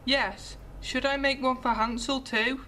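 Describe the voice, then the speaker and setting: Young girl, acted by a woman, fairly high voice, polite, cheeky, radio drama. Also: steady tone, measured pace, bright, forceful tone. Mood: meek, sly. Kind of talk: fairy-tale radio drama acting.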